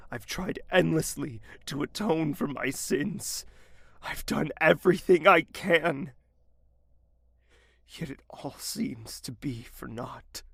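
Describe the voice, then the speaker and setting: A slow, gravelly young nobleman, voiced by a man, pours out his guilt to a goddess in an audio drama. He starts in a hushed confession and rises into anguished pleading. Faint ambience hums behind him. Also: on the high side, slight background noise. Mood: desperate.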